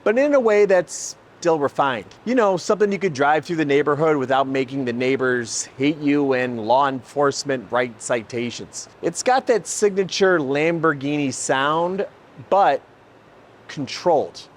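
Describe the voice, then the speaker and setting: A man's voice: fairly high pitch, expressive, a little slow, a little hiss or hum. A man hosting an automotive video, presenting and explaining in an emphatic, enthusiastic way. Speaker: host, exhaust shop builder. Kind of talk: presenting car exhaust comparison, part scripted, part explanatory.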